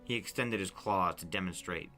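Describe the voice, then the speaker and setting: A man reading a story aloud as narrator, with expressive, suspenseful, dramatic audiobook-style delivery. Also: low voice, moderate pace. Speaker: narrator and reader. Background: music bed.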